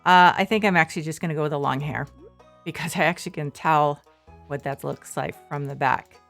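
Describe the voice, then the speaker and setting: A woman streaming a farming game from her shared living room, rambling softly with many fillers. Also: deep voice, brisk, vocal fry, soft background music. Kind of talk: casual gameplay commentary.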